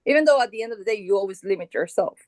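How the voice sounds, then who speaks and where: A middle-aged woman from Colombia talks in a relaxed, casual interview voice. She thinks out loud, with plenty of "you know" and restarts. Her pitch sits in a mid range, and the sound is dry and close with no room echo. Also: racing. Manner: relaxed, thinks aloud with many fillers and restarts. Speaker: guitarist and founding band member, guest.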